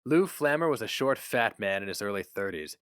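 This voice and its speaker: A man's voice: on the low side, lively intonation, brisk, a bit bright, clean tone, no echo, studio quality. A man reading a short story aloud as a dramatic scripted narration.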